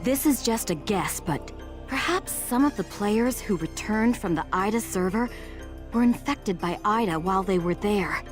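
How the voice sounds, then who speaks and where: A composed, businesslike female agent, as a woman voices her in a game cutscene. She delivers briefings in a crisp, matter-of-fact way at a mid pitch, with faint music underneath. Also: lively intonation, normal speed, close to an everyday voice.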